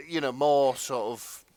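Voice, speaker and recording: A man's voice: mid-range voice, a little mellow, rough recording. A man co-hosting a podcast in casual chat, feeling his way through sentences with hesitant phrasing. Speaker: podcast co-host.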